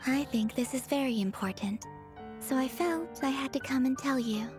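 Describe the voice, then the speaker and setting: Innocent young maiden, high voice, sweet, earnest, serene, game acting, clear music behind. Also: female voice, animated, moderate pace.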